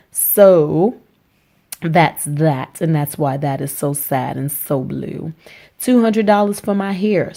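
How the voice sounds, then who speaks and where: Casual vlogger, woman, a little hoarse from a cold, upbeat and talky, lower pitch, recorded on a camera mic indoors. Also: a little mellow. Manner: chatty, upbeat, talks to viewers as y'all. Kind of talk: casual budget vlog. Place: small rented apartment indoors.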